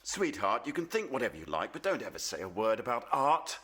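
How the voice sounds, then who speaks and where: Radio play: troubled, emphatic painter father, rough-edged voice, animated intonation. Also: medium pitch, a little fast, heavily acted. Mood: scornful, lofty. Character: proud, troubled male painter, father of a grown daughter.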